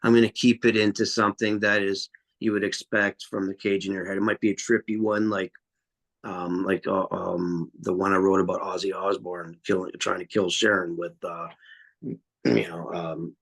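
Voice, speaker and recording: A man's voice: low voice, little pitch movement, normal speed, gravelly, a bit bright, poor audio. A man, a metal vocalist, talking bluntly and at length in a casual podcast interview, swearing freely. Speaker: metal vocalist and guitarist guest.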